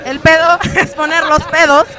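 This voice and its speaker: A woman with a high voice joins as a guest, joking and mocking herself as she explains the party games. She is recorded outdoors at a pool party, with loud music and a crowd behind her. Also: little pitch movement, moderate pace. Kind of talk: casual chat.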